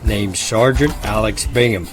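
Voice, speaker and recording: A man's voice: lowish voice, a little slow, close to an everyday voice. Man playing a clipped army sergeant over music. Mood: official, respectful. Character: firm, courteous male army sergeant manning checkpoint. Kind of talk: audio drama acting. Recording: music bed behind.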